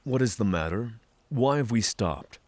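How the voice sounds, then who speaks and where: A man's voice: mid-range voice, expressive, moderate pace, darkish tone, no room sound, close to an everyday voice. A man playing a polite, formal, reflective druid traveler in a fantasy audio drama. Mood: curious. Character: courteous male druid traveler from the mountains, reflective guest.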